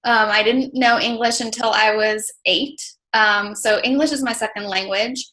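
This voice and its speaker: Woman, from Mexico, native Spanish speaker, interview guest, casual, lots of fillers, higher voice, bright tone. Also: fairly even intonation, normal speed. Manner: chatty, many fillers like "like" and "you know". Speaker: interview guest, coach mentor.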